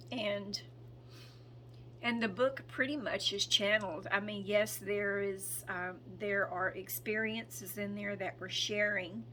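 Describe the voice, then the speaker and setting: A hoarse woman speaking in a slow, hesitant way, with frequent ums and throat clearing, as she gives a casual spoken update as a spiritual channel. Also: medium pitch, fairly even intonation, bright, forceful tone, slight background noise.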